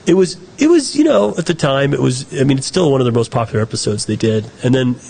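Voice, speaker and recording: American guy guest on a chat show, rambly, keeps restarting his sentences, normal pitch. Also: lively intonation, fast talker, vocal fry, darkish tone, a little hiss or hum. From United States. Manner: rambling, self-correcting storyteller. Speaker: guest, film director. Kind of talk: casual conversational interview.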